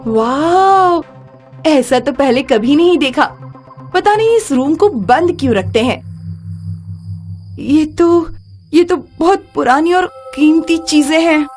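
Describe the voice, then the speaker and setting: A woman's voice: high voice, lively intonation, slow pace, long pauses, darkish tone, faint music behind. A woman voicing a bold, curious young museum worker in a horror story, impatient and teasing. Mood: excited, curious. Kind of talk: animated horror story character acting.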